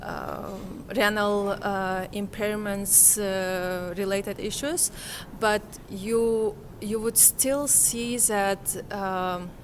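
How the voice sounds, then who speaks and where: A woman speaks slowly in an interview. She is reflective and hesitant, pausing to find her words. A faint noise of the room sits behind her. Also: medium pitch, steady tone. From Kazakhstan, Central Asia. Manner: hesitant, halting, searching for words. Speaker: interviewee, conference participant.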